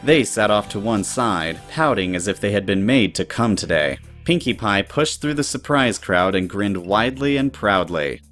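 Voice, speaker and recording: Low-pitched male story narrator. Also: lively intonation, moderate pace, few pauses, fairly bright tone, soft background music.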